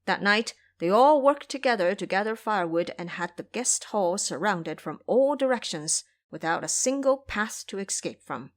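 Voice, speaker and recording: Animated woman narrating a story. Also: mid-range voice, normal speed, few pauses. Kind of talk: scripted audio drama storytelling.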